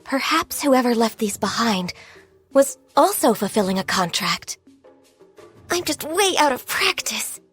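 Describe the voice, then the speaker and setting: A woman voicing a shy, gentle, diligent half-Qilin secretary in a video game, with polite, earnest, soft-spoken delivery. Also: on the high side, very animated, unhurried, slightly rough, soft background music, heavily acted. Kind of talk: video game character voice lines.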